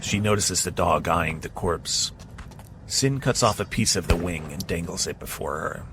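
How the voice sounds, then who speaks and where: Deep-voiced male narrator. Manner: steady, measured storytelling. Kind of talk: scripted second-person narration. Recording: clear background noise.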